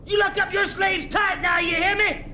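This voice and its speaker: An actor playing a rough rural American man who bellows urgently in a radio drama. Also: very high-pitched, flat delivery, bright, forceful tone, slight background noise, heavily acted. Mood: urgent alarm. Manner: bellowing, urgent, drawn-out calls.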